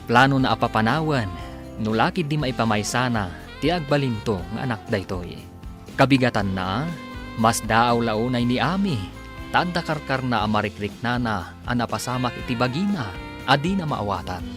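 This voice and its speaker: A man introduces and recaps a radio drama in a measured, lilting style. His pitch sits in the middle but rises and falls widely. A music bed plays clearly behind him. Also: animated. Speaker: announcer.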